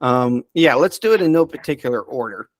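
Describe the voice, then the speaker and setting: A man in casual podcast conversation, speaking as the resident expert. He rambles and hesitates, circling back on his own sentences, in a mid-pitched voice recorded close and dry. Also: animated, fairly quick, no room sound. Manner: hesitant, rambling, self-interrupting. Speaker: podcast subject-matter expert. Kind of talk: casual chat.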